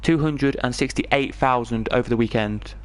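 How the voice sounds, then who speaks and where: A man's voice: mid-range voice, normal speed, clean tone. A man from England with an English accent, giving chatty live commentary on an online racing league. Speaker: esports race commentator.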